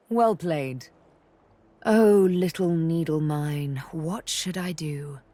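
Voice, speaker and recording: A woman's voice: deep voice, extremely slow, mellow, no room sound, full character voice. A woman voicing a sly elf rogue in a video game, playful and flirtatious with a theatrical, threatening edge. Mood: amused, deliberating. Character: sly elf woman rogue, former slave, teasing and menacing. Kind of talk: video game character acting.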